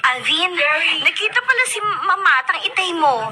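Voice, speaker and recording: An actress playing a caring, chatty young student in a radio drama, expressive and coaxing. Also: female voice, very high voice, normal speed, over loud music. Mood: concerned, confiding. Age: young adult. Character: caring, chatty young female student confiding in her cousin.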